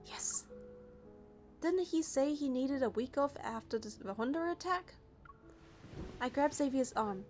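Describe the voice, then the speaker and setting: A woman narrates a game story in the first person, reading it aloud. Her voice is high, and faint music plays behind her. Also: lively intonation, a little slow, long pauses, a little mellow.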